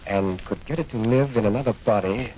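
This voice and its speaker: An actor playing a sober, sceptical physician, speaking gravely and firmly in an old radio horror drama. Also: male voice, on the low side, natural voice. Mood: grave, foreboding. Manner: grave, measured, firm. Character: sober, sceptical male physician recounting a haunting. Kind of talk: 1940s radio drama acting. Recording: muffled, noisy old radio recording.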